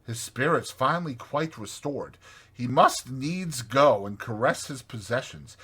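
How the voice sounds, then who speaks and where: A man's voice: mid-range voice, expressive, a little slow, bright, forceful tone, slightly rough. A man narrating a storybook as a dramatized audiobook.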